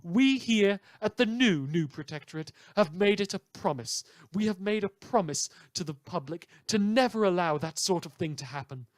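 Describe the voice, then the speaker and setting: A man's voice: high-pitched, expressive, normal speed, hardly any pauses, dry recording. A man playing an opinionated, wry radio news host, delivering a chatty, theatrical monologue with sardonic asides in an audio drama. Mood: indignant. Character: opinionated, indignant, wry male small-station radio presenter.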